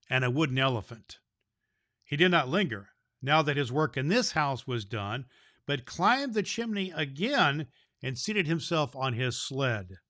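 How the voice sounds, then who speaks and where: Man acting as old Santa figure, kindly, folksy, deliberate children's storybook delivery, medium pitch, dry recording. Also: fairly bright tone, no echo. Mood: gentle storytelling. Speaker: host of a children's Christmas story show. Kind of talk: scripted announcements and storybook reading for children.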